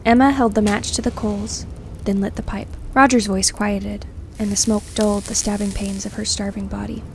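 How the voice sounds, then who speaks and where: A woman narrating scripted fiction in an expressive, suspenseful, dramatic way. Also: medium pitch, moderate pace, vocal fry, a little hiss or hum. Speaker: story narrator.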